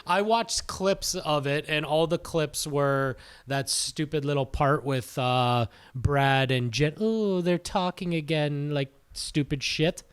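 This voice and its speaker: A man's voice: a little high, slow pace, hardly any pauses, clear, resonant tone. A man co-hosting a podcast, chatting casually and bluntly about films and celebrities, swearing freely. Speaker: podcast co-host.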